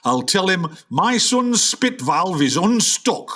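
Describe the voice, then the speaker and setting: A man's voice: sits high, sing-song, slow pace, a bit bright, heavily acted. A man playing a blustering, hopeful aging jazzman father in a comedic radio play, talking in boastful old-time hipster slang. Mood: boastful, eager.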